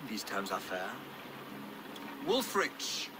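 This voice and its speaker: An actor playing a pious, measured English king in a game cutscene, stately and dry in manner. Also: on the low side, wildly swooping pitch, noisy background, exaggerated voice. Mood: dry, composed. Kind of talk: video game cutscene acting.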